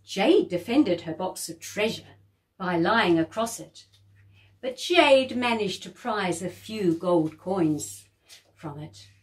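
A woman reading a children's story aloud, expressive and lively, lifting her voice high for the children's lines. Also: slow pace.

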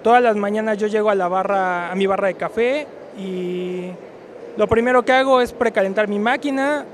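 A barista, a man in his thirties, is being interviewed on a handheld mic. His voice is high, with faint hall ambience behind it. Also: normal speed, a little hiss or hum. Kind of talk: spoken interview. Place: busy indoor expo hall. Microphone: handheld interview microphone.

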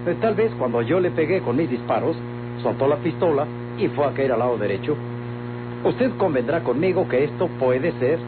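A man's voice: a little high, expressive, normal speed, natural voice. An actor with a Mexican accent playing an evasive, indignant businessman accused of murder, formal and defensive, in a vintage radio drama. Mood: nervous, evasive. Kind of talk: Mexican golden-age radio drama acting. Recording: muffled vintage radio recording, music behind.

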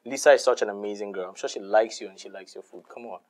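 A man's voice: lowish voice, fast, a little mellow, natural voice. A man with a Nigerian accent playing an easygoing young boyfriend who brushes off quarrels and apologizes to his mother. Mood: placating. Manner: placating, laughing things off.

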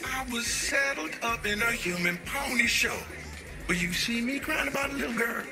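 A man's voice: very high voice, moderate pace, distant mic, over music. An actor playing a boastful, flamboyant pimp gangster in a video game, drawing out his words. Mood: wry. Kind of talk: video game cutscene acting.

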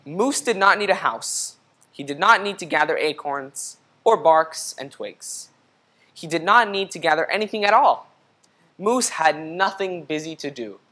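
Young man reading to children, higher-pitched voice. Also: lively intonation, measured pace, pushed and bright. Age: young adult. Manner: instructive, addressing young children. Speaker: storybook reader. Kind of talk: read-aloud children's storytelling with questions to the listener. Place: indoor studio room. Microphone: lapel mic.

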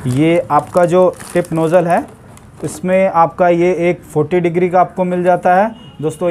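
A man's voice: a little high, a little mellow, slight background noise. A young man casually reviewing and demonstrating a pressure washer gun, chatting to his viewers as friends, recorded outdoors with the camera a few steps away. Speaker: product reviewer. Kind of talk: casual product demo. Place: outdoors in a yard, washing a car.

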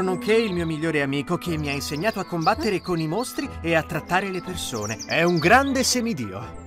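Eager young cartoon hero, voiced by a man, lively pitch, music underneath. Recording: music behind voice.

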